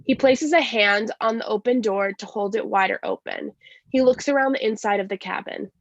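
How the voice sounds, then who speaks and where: A woman's voice: fairly high pitch, normal speed, dry recording, highs cut off. A woman giving scripted narration, reading stage directions at a play reading.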